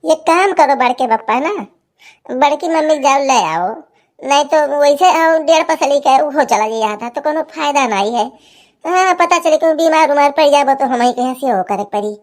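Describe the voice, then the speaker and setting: Woman playing a high-pitched cheeky Awadhi village boy. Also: expressive, normal speed. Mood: advising, needling. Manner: cheeky, needling, advice-giving. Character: cheeky young village boy teasing his uncle. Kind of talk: cartoon comedy dialogue.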